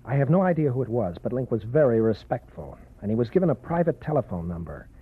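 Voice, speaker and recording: An earnest, worried young man from a small town, husky and pleading in tone. It comes through a tinny old radio broadcast. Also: mid-range voice, very animated, fast talker. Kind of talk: 1940s radio acting.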